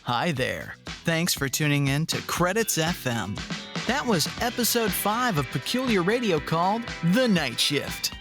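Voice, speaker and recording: A man with a slightly high voice works as the credits announcer, reading a scripted list of names and roles. Loud music with guitar plays behind him throughout. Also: sing-song, measured pace.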